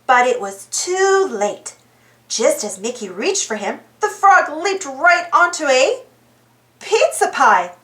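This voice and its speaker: A woman reading a children's storybook aloud as narrator in an animated style. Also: high-pitched, slow, reverberant.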